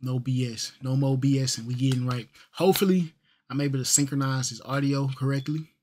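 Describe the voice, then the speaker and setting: A man's voice: mid-range voice, steady tone, fairly quick, a little mellow. Laid-back rambling man. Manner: laid-back, rambling, heavy on fillers and slang. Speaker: vlogger. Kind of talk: casual fitness vlog. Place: small bedroom with gaming setup. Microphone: desk microphone.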